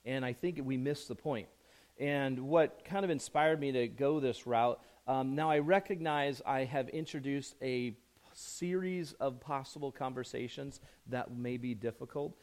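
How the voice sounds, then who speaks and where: A man preaching a conversational sermon as pastor, hoarse from a chest cold, chatty and self-joking with frequent restarts. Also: mid-range voice, unhurried, darkish tone.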